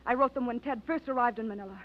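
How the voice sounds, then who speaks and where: An actress playing Helen, a wealthy young woman who is sharp, suspicious and accusing, in a 1940s radio drama. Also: a little high, fast talker, natural voice. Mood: distraught. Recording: dull vintage radio recording.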